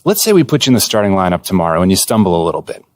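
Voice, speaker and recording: A man playing an earnest, data-minded basketball executive in a video game, speaking in a measured corporate way. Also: low voice, sing-song, dry recording. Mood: serious. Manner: measured, businesslike, corporate jargon. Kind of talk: conversational video game cutscene acting.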